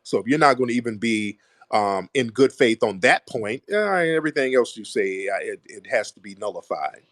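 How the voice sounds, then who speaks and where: A man hosting a heated call-in debate, lecturing forcefully and repeating his points for emphasis. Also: medium pitch, animated, moderate pace, dry recording, clean studio sound. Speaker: host.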